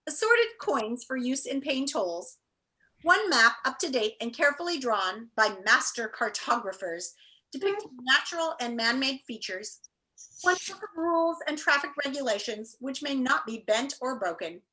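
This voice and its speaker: A woman voicing a formal, officious notice in a storybook, announcing it deliberately and with emphasis. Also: high voice, animated, sharp tone, low-quality recording. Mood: official, deliberate.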